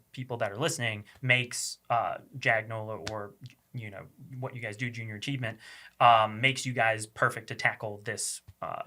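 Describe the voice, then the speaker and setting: A man hosts a casual interview podcast with a bright, forceful tone at a middle pitch. His questions wander before they land, and he adds encouraging asides along the way. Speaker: podcast host.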